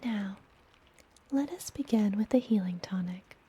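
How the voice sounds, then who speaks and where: A woman playing a friendly apothecary witch in a fantasy ASMR roleplay, speaking gently in a breathy, soothing whisper. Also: medium pitch, muffled recording. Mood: reassuring. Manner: slow, gentle, soothing delivery. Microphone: close ASMR microphone.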